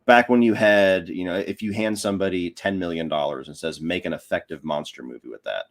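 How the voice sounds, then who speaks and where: A man's voice: sits low, brisk. A man talking casually and giving his opinions as a guest on a film podcast.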